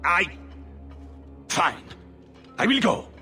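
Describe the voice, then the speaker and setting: Shrill, blustering pompous baron. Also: male voice, sing-song, very slow, pushed and bright, faint music behind, full character voice. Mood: grudging. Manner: indignant, haughty, blustering. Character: pompous, hot-tempered, insulting Orlesian baron. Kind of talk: video game cutscene acting.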